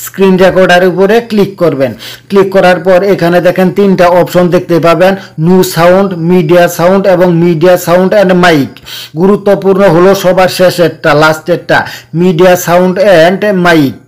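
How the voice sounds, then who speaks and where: A man's voice: high voice, steady tone, a little fast, darkish tone. A man hosting a tech tutorial, explaining things casually and repeating key points. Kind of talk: casual phone tutorial narration.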